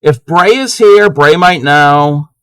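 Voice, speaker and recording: A man with a fairly high, bright, forceful voice, talking slowly and loosely to his live chat. He breaks off and restarts his sentences as he crafts. The sound is dry and close. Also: animated, smooth, no room sound. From Maryland, USA. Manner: chatty, answers chat, many restarts. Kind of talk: casual live-stream chat.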